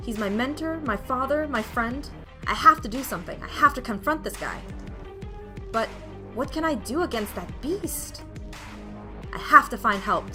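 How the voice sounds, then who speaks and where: A woman playing a frightened, desperate young hero in a visual novel, narrating in an emotional, melodramatic way. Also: a little high. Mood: panicked, desperate. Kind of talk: dramatic first-person visual novel narration.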